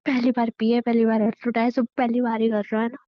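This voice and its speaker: A woman's voice: a little high, level tone, a little fast, close to an everyday voice. A woman playing a resentful, wounded wife in an audio drama, reproachful and stubborn with a plaintive delivery. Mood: accusing. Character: wounded, resentful young wife, defiantly rebuffing her husband. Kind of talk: Hindi audio drama dialogue, emotional acting. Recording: muffled phone-like sound with room echo.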